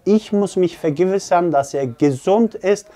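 A man in his forties, a fitness coach, explains earnestly to camera with hesitant, pause-filled phrasing. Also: high voice, mellow. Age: 40s. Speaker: fitness coach and gym owner. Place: small indoor office.

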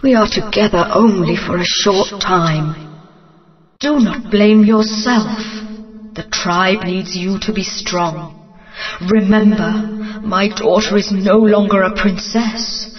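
A woman voicing the spirit of a dying queen mother who speaks gently to her daughter. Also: mid-range voice, takes it slowly, faint music behind, close to an everyday voice. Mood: tender. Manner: gentle, soothing. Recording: dull lo-fi audio with long reverb.

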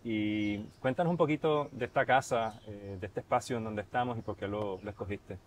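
A man in his fifties asking interview questions in a measured way, recorded with a lapel mic on an outdoor patio. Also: fairly low pitch.

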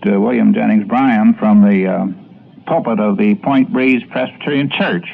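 An older man, a former radio announcer, recounting memories in a halting, measured way. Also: on the low side, vocal fry, slight background noise. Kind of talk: recounting reminiscences. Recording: thin phone-like archival radio recording.

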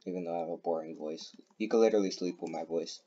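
A young man casually commenting on a racing game, excitable and rambling with lots of fillers and laughs. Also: deep voice, fast, slightly rough, lo-fi sound. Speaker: gaming YouTuber.

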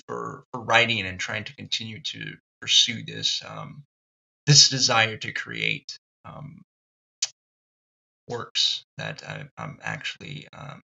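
Gravelly bright-toned man musing aloud. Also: medium pitch, rough recording. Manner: thoughtful, halting, many ums and restarts. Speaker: podcast host. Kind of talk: reflective solo podcast monologue.

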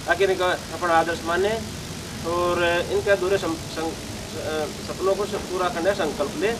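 A man, giving explanatory interview answers in a halting way, often correcting his words. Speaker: interview guest, contest organiser. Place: seated outdoors in front of banners. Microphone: handheld news microphone held to him. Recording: noisy outdoor sound, idling vehicle rumble.

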